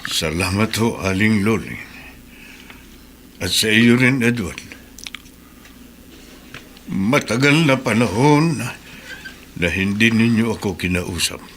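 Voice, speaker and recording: A man's voice: on the low side, lively intonation, takes it slowly, pauses often, fairly bright tone, a little hiss or hum. A man voicing grieving, principled Damir. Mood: sorrowful, earnest. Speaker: radio drama actor. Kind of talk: Tagalog radio drama acting.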